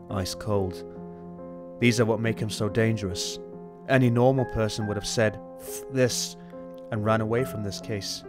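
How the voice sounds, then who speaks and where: A man playing a grim, determined detective inspector in a noir radio play, with a deadpan delivery. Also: lowish voice, natural voice. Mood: brooding. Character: brooding, determined male detective inspector narrating his bleak story. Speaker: actor in amateur college radio play.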